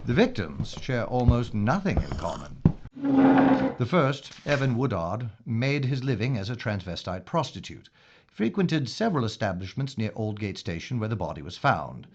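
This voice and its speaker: Casting: male actor as wry, formal police inspector for radio play; lively intonation, muffled noisy recording. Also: fairly low pitch. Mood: grave briefing. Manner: measured, formal, clinical. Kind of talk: audio drama acting.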